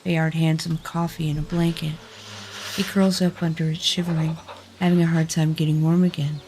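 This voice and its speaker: A woman's voice: low voice, little pitch movement, normal speed, a little mellow, a little hiss or hum. A woman reading scripted fantasy narration as the narrator of an audio drama. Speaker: narrator and lead actor.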